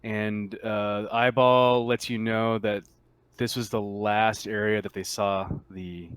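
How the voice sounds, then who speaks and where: Male game master, lower register, improvised and conversational scene description for tabletop play. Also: little pitch movement, slow, fairly bright tone, clear, resonant tone, no room sound. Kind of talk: narrating a tabletop roleplaying game session.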